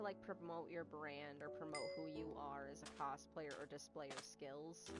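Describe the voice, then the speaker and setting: Low-voiced young woman streamer with a stutter. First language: Spanish. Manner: rambling, talks to chat and to the game at once. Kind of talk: casual chat while playing a game. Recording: game music playing behind.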